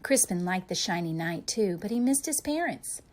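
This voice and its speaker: A woman reading a children's picture book aloud as a storyteller, with expressive, deliberate delivery for young listeners. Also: mid-range voice.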